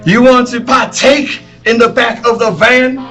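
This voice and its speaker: A man's voice: extremely high pitch, steady tone, a little slow, fairly bright tone, faint music behind, pitched above the performer's own voice. A man voicing a laid-back, druggy oddball stand-up comic in an impression at a live table read.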